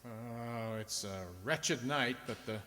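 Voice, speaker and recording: A man playing a kindly, earnest doctor in a radio drama, speaking in a halting, pleading manner. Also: medium pitch, sharp tone. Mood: gentle, apologetic. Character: earnest, kindly, urgent male family doctor. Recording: reverberant hall.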